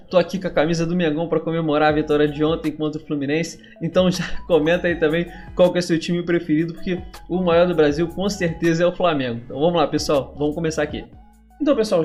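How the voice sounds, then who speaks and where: A Brazilian man in his twenties teaching a casual coding tutorial, chatty and relaxed, checking in often with his viewers. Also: a little high. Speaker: programming instructor.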